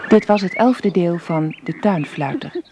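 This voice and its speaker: A woman's voice: on the low side, lively intonation, unhurried, warm tone, a little hiss or hum. A woman reading scripted radio credits as an announcer.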